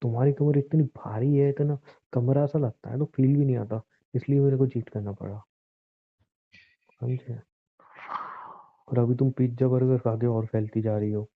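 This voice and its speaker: A man acting as a cheating boyfriend in a phone-call drama. His voice sits at an ordinary male pitch, defensive and insistent, forever justifying himself. It all comes through a thin, tinny phone line. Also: steady tone, moderate pace, pauses often, close to an everyday voice. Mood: brazen. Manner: pleading, insistent, self-justifying.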